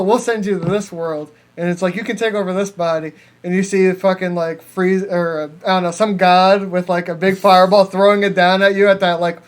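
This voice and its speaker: A man with a noticeably high voice chats casually as a podcast co-host. He rambles and swears freely, in a joking style, and often giggles while he talks. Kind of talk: casual joking chat, riffing on ideas.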